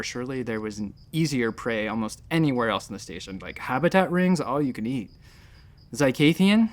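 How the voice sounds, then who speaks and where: A man's voice: mid-range voice, slight background noise, lightly acted. A man playing a nervous space-station doctor who talks in a hesitant, rambling, self-deprecating way in a sci-fi audio drama. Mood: nervous, wry. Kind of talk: sci-fi audio drama acting, conversational first-person storytelling.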